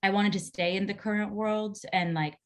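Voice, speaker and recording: A woman's voice: medium pitch, steady tone, moderate pace. A woman speaking casually on a video call, thinking aloud as she gives feedback and often saying "like". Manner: thinking aloud, heavy use of "like". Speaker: table read participant giving feedback. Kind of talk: casual spoken feedback on a script.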